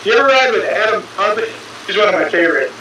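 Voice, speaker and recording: A man's voice: sits high, lively intonation, quick pace, sharp tone, heavy reverb, far from the mic, slight background noise, poor audio. A man of about fifty chatting enthusiastically and joking with fellow cyclists. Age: 50s. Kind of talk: casual chat.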